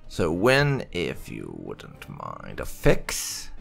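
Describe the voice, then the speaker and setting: A man giving video game commentary in a deliberate, musing way, sometimes reading dialogue aloud. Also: rumbling low, huge pitch swings, creaky, a bit bright, slightly rough. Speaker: let's-play gamer. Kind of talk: roleplay gameplay commentary. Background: video game music.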